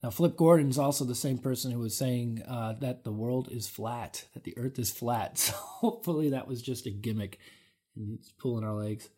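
A man's voice: mid-range voice, moderate pace, warm tone, husky. Upbeat man reading listener questions. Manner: upbeat, reads out questions, plugs the website. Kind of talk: casual interview chat.

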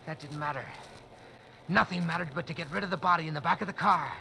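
A man's voice: a little high, fast, gravelly, pushed and bright, slight background noise. A man narrating in the first person for a 1940s radio drama, tense and nervous. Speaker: narrator and lead character.

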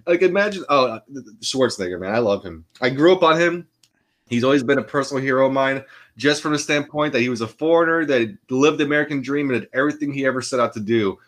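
A man's voice: mid-range voice, fast talker. A man co-hosting a podcast, talking loosely with many restarts and fillers. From Austria. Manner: rambling, full of restarts and fillers. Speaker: podcast co-host. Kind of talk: casual chat.

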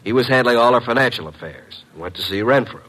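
An actor playing a wisecracking, cheeky detective's assistant with a wry, breezy manner in an old-time radio drama. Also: male voice, mid-range voice, lively intonation, fast talker, fairly bright tone, poor audio. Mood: matter-of-fact.

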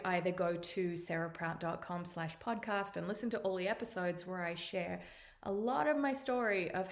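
Earnest Australian woman, fairly low voice. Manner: earnest, encouraging, drawn-out phrasing. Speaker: podcast host. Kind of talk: motivational monologue.

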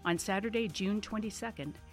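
A woman's voice: medium pitch, brisk, soft background music. A woman announcer reading a scripted event promotion.

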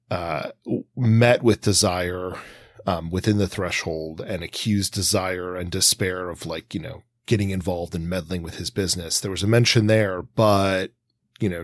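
A man with a fairly low voice talks as a podcast co-host. He works through his analysis casually, in winding, hesitant sentences. The sound is very dry, with no room to it. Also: no echo. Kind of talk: casual analytical book discussion.

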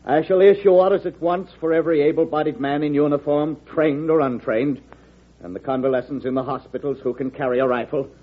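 Stiff desk general, radio drama; husky, formal, clipped, muffled vintage recording. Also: male voice, fairly high pitch, fairly even intonation, brisk. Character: stiff, stubborn, anxious army general, desk officer. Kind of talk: old-time radio drama acting.

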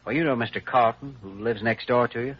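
An actor playing an earnest, hesitant young doctor who reasons carefully in an old radio drama. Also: male voice, medium pitch, steady tone, quick pace, husky, lightly acted. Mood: gentle. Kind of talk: 1940s radio drama acting. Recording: dull, lightly noisy old radio recording.

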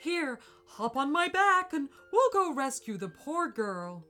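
A woman voicing a kind, helpful unicorn in a storybook reading, with a warm, fussy, motherly manner. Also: high-pitched, big pitch swings, slow pace, faint music behind, pitched above the performer's own voice, exaggerated voice. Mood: concerned.

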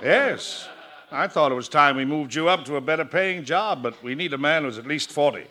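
An actor playing a brisk, amused boss in a 1940s radio comedy, businesslike and chuckling. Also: male voice, on the high side, lively intonation, noisy background, natural voice.

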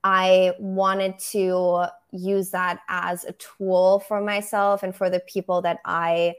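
A young photographer from Germany speaks English. She answers in a measured, reflective way, often pausing on "um" while she builds her points. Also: fairly low pitch, level tone, clean tone. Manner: thoughtful, measured, frequent "um". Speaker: photographer, podcast guest. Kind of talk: interview answers.

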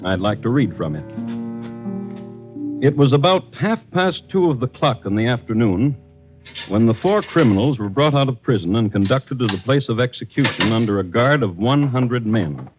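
A man narrating a radio story in a wry manner, with dramatic pauses. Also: mid-range voice, expressive, over music. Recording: dull, lo-fi old radio recording.

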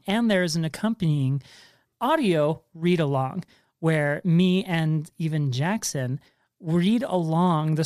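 A man's voice: on the high side, a little mellow, no echo, clean studio sound. A man hosting a casual podcast with his father, talking in a playful, jokey way. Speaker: podcast host. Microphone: handheld recorder.

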